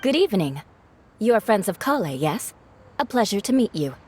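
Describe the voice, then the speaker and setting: A woman's voice: medium pitch, big pitch swings, normal speed, a little mellow, a little hiss or hum, natural voice. A woman voicing a poised, formal, aristocratic knight captain in a video game. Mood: poised, polite. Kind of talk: video game character voice acting.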